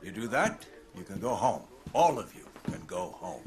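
An actor playing a hard-nosed middle-aged commander giving a terse, emphatic briefing in a war game. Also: male voice, fairly low pitch, sing-song, normal speed. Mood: stern, persuasive. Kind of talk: military briefing in game cutscene. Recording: clear noise behind the voice.

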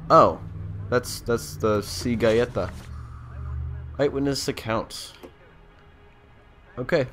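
A young man hosts a casual game walkthrough. He speaks slowly, thinking aloud in a slangy, joking way. Faint music runs underneath. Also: mid-range voice, fairly bright tone. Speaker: gamer, walkthrough host.

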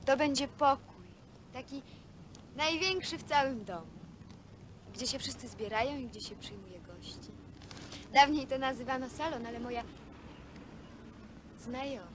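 An actress playing a dreamy young woman who confides wishful stories in halting phrases, in naturalistic Polish film dialogue. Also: sits high, expressive, slight background noise. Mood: dreamy, confiding. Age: young adult. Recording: old film soundtrack with music.

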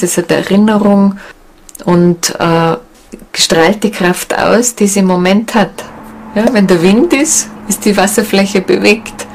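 A woman talking reflectively in a documentary interview, halting and pausing to find her words. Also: medium pitch, noisy background. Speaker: shamanic seminar leader and interviewee. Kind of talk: documentary interview, unscripted talk.

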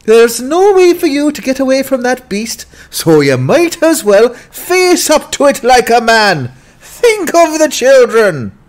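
Guy doing a smooth-talking hustler agent for a fantasy audiobook, super animated, buddy-buddy and wheedling. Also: very high-pitched, unhurried, exaggerated voice. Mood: urgent, pleading. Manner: genial, wheedling, calls listeners "son". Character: slick, fatherly, fast-talking male sports agent and hustler. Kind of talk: dramatized fantasy audiobook acting.

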